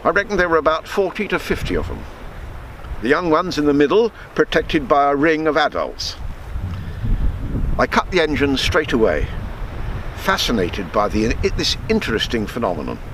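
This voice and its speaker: An older man reading a true sea tale aloud as a storyteller, measured, sometimes stumbling and repeating words. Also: on the high side, very animated, a bit bright, slight background noise, low-quality recording. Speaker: storyteller reading his book.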